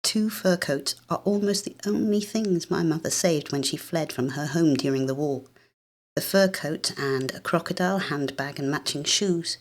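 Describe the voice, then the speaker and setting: Woman, British accent, low pitch, literary audiobook narration, measured, expressive, dramatic storytelling. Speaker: actress reading a short story. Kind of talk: literary short story narration, first person.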